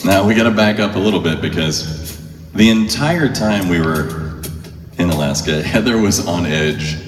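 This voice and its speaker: Male stage storyteller, low voice, colloquial and wry, heard from a distance in an echoing hall. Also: normal speed. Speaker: performer telling a story on stage. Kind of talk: humorous live storytelling to an audience. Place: large hall, live stage show. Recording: echoey hall, distant, music behind.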